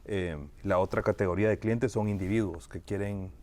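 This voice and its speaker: Deep-voiced man explaining at measured pace. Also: fairly even intonation, darkish tone. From Guatemala. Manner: measured, with frequent "eh" fillers and restarts. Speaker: immigration lawyer, guest. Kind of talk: explanatory interview answers.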